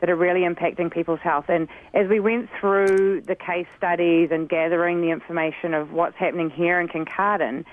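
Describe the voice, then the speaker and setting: A man's voice: sits high, level tone, fairly quick, few pauses. A man from New Zealand with a New Zealand accent, speaking earnestly and with frustration as a community spokesperson calling in to a radio show. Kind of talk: earnest phone-in interview. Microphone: phone. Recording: tinny phone line.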